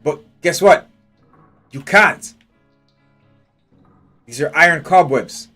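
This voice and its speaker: A man's voice: fairly high pitch, expressive, takes it slowly, bright, forceful tone. A man streaming a game live, thinking aloud in a blunt, profane way and nitpicking every detail. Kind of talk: live gameplay commentary. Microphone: headphones with a desk mic. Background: faint game music.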